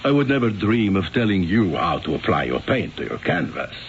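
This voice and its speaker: An actor playing an insistent, haggling silk merchant and doting husband in a radio drama, with a gravelly voice and a fussy, emphatic manner. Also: male voice, low-pitched, sing-song, a little fast. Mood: formal, put out. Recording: dull, hissy vintage radio recording.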